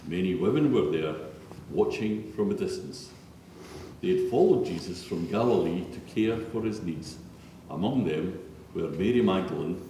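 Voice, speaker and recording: Low-voiced male scripture narrator, very echoey church. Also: mellow. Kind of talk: solemn church scripture reading. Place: large echoey church interior. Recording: distant, very echoey church, faint noise.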